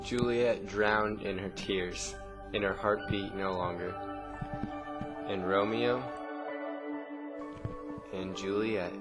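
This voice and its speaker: A man reading a scripted story narration with dramatic pauses, in a noisy amateur recording. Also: on the low side, steady tone, loud music behind the voice.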